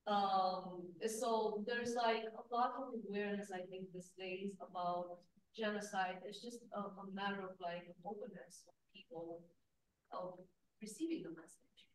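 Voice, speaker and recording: A woman's voice: mid-range voice, fairly even intonation, a little slow. Distant woman. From Romania. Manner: earnest, thinking aloud with fillers. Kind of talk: conference discussion remark. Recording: echoey room, distant mic, faint hiss.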